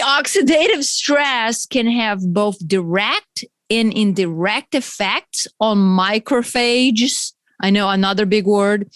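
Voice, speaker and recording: A woman's voice: fairly high pitch, no echo. A woman with a Brazilian accent hosting a solo health podcast, chatty and explaining terms with friendly asides. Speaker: podcast host.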